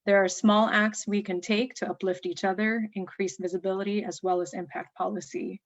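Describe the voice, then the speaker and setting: A woman's voice: medium pitch, little pitch movement, brisk, no echo, muffled recording. Woman advocate, emphatic and firm, very dry close sound. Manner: firm, emphatic, listing demands. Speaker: panelist. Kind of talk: advocacy speech.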